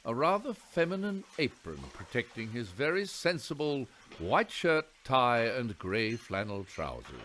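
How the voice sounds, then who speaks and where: A man narrating a radio play in a wry, theatrical storyteller's manner with deliberate emphasis. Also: mid-range voice, fairly bright tone.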